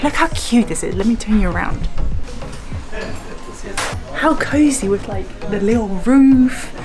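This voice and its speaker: A young woman vlogging a trip to a handheld camera, bubbly and gushing. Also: mid-range voice. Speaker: travel vlogger. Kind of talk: casual travel vlog to camera. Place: on the move, trains, restaurants and outdoors. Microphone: handheld camera at arm's length. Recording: background music under voice.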